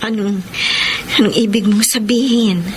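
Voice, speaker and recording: A woman voice actor playing a married woman quietly torn by love, in a radio drama with music under her voice. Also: mid-range voice, fairly even intonation, measured pace, close to an everyday voice. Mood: tender.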